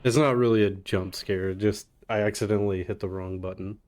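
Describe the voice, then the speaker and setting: A man's voice: lowish voice, fairly even intonation, fairly quick, darkish tone. A man commentating a live speedrun in a casual, playfully sarcastic way. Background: game music and dialogue.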